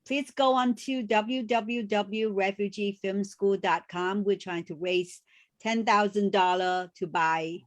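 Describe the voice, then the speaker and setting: A woman co-hosting a casual video call chat, speaking with halting phrasing and many restarts. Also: medium pitch, steady tone. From refugee background. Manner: warm, halting, frequent restarts and fillers. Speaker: co-host.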